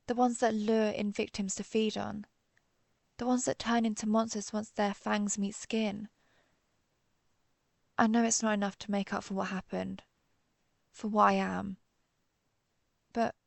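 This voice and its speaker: Woman acting a gravelly, guilt-ridden vampire huntress. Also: medium pitch, dry recording. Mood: quietly determined, remorseful. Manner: confessional, somber, measured storytelling. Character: centuries-old female vampire hunter turned vampire, guilt-ridden, resolute. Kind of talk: intimate dramatic monologue, audio roleplay.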